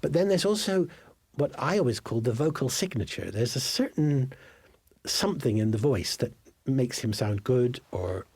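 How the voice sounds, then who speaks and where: Drama producer, male, mid-range husky voice, interviewed in a dry space, explaining. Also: mid-range voice, a little mellow, no room sound.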